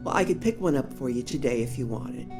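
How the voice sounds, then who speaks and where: A woman's voice: rumbling low, animated, brisk, music in the background. An actress playing a doting, nagging older mother and grandmother in an audio drama. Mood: fussing.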